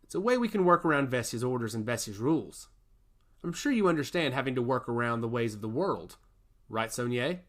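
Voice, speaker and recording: Casting: male narrator as a smug fantasy emissary and teasing schemer, theatrical, with lively swooping pitch. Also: a little fast. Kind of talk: fantasy audio story character.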